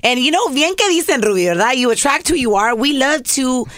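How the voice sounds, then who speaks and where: A woman's voice: high voice, expressive, a little fast, a bit bright, dry recording, studio quality. A woman co-hosting a podcast in casual chat, switching freely between English and Spanish, upbeat and playful. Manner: upbeat, playful, reads quotes aloud with emphasis. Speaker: podcast co-host.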